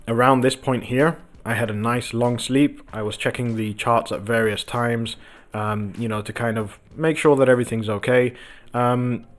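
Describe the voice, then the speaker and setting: A man hosting a casual livestream from his home office, croaky from just waking up, rambling with lots of ums as he talks to viewers and the chart. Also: medium pitch. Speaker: crypto livestream host. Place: home office with window open.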